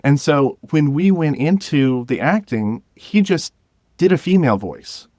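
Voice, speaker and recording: A man speaking as an interview guest, telling stories about directing in a casual, halting way with some chuckles. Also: fairly high pitch, expressive, warm tone, no room sound, clean studio sound. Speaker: guest, director of an audio drama podcast.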